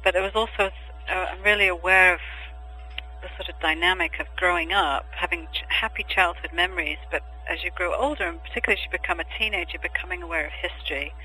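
Bright-voiced man, hesitant and reflective. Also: sits high, steady tone, fast talker, a little hiss or hum, rough recording. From England and Germany. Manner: thoughtful, hesitant, many ums and restarts. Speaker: poet, interview guest. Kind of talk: podcast interview answers.

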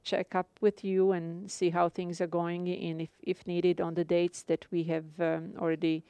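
A woman with a Croatian accent lectures her class over a live broadcast, speaking carefully and pausing often on fillers. Her voice is mellow, low and smooth. The studio sound is dry and close. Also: little pitch movement, no room sound. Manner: careful, measured, frequent filler pauses. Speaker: instructor. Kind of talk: live radio lecture to students.

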